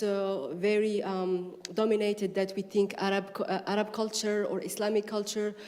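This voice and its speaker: A woman from Morocco with a Moroccan accent, answering on a panel in a halting way, searching for words. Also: mid-range voice, darkish tone. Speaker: panel speaker, researcher. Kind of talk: panel talk. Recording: reverberant hall.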